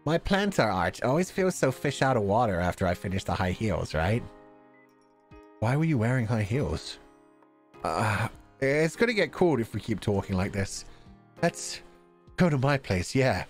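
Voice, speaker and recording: A man streaming a game, reading its dialogue aloud with jokey ad-libs in a playful, animated manner. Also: lowish voice, normal speed. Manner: animated, stammering for effect, jokey. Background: visual novel game music.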